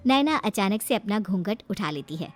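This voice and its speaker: A woman's voice: mid-range voice, lively intonation, moderate pace. A woman narrating a scripted story as a storyteller. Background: faint music.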